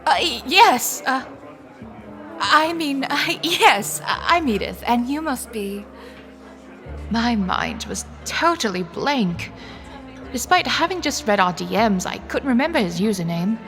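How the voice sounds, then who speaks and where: A woman's voice: a little high, expressive, a little slow, a bit bright, faint music behind. A woman playing an anxious, sardonic young woman, narrating and acting in a first-person romance audio drama. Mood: flustered. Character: anxious, sardonic young woman, broke and flustered, defiant underneath.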